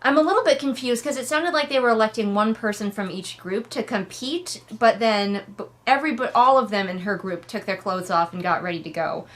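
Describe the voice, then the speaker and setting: A woman's voice: fairly high pitch, fairly quick, few pauses. Wry woman giving casual book commentary. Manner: wry, exasperated, conversational. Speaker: host of a book readalong commentary.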